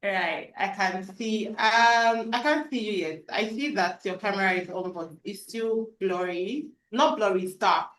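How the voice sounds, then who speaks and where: A woman's voice: medium pitch, a little slow, few pauses, fairly bright tone, roomy sound, rough recording. A woman moderating a live online webinar, upbeat and often checking in with the audience. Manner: upbeat, repeats "right?" to the audience. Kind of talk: hosting a live online session. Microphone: video call.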